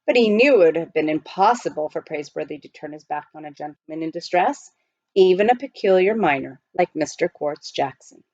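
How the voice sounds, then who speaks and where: A woman reading a children's novel aloud as an expressive storyteller. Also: low voice, fairly quick, no room sound, highs cut off. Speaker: storybook reader.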